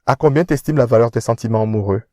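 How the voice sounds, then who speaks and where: A defiant, sharp-tongued young man, voiced by a male actor answers with mocking confidence in an ordinary mid-range voice. The recording is dry and close, with no room sound. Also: medium pitch, racing, dry recording, natural voice. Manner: mocking, self-assured, defiant. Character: proud witty young man, former flatmate. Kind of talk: independent audio drama acting.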